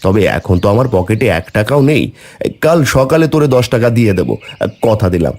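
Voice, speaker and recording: A man's voice: on the low side, very animated, brisk, darkish tone. A man voicing an affectionate, hard-pressed village farmer and father in a cartoon story, talking in a fond, coaxing way. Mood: reassuring.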